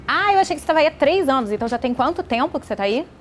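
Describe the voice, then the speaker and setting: A woman's voice: high-pitched, expressive, rapid-fire, a little hiss or hum. A woman with a Brazilian accent hosting a podcast and interviewing a guest in a conversational way. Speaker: podcast host.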